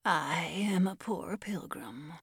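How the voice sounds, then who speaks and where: A woman voicing a male demon disguised as a poor pilgrim, speaking slowly in a hushed, whispery voice to sound gentle. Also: fairly low pitch, expressive, creaky, breathy edge, no echo, studio quality, exaggerated voice. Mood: feigned weariness. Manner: harsh voice made soft and whispery.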